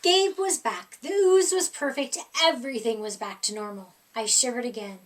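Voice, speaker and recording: A woman reading a children's story aloud in an expressive narrating style. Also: a little high, moderate pace, distant mic. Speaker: storybook reader.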